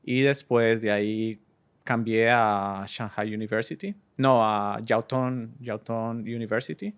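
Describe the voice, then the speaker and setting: A Colombian man from Cúcuta in his thirties, answering interview questions in an easygoing, hesitant way with many pauses. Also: fairly low pitch, fairly even intonation, clear, resonant tone. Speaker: guest DJ and entrepreneur.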